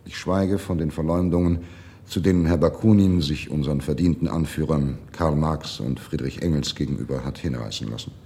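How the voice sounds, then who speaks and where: An actor playing a disdainful, doctrinaire Marxist party functionary in a radio drama, speaking formally and officiously. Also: male voice, extremely low pitch, brisk, warm tone. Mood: stern disapproval. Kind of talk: 1970s German radio drama acting.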